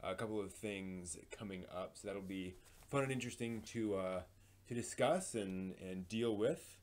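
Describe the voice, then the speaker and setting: A man hosting a live stream, reading entertainment news in an informal, somewhat halting way with casual asides. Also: lowish voice, darkish tone. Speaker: live stream host presenting anime news.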